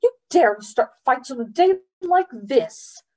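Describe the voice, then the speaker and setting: A woman's voice: mid-range voice, big pitch swings, drawn-out words, breathy edge, heavily acted. A woman voicing a stern, impatient mother in a storybook, scolding in a snappish manner. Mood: angry scolding. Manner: sharp, scolding.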